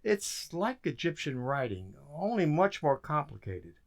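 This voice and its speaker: An actor playing a weary, dry-witted government codebreaker in an emphatic radio drama style. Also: male voice, fairly high pitch, big pitch swings, measured pace. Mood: weary, frustrated.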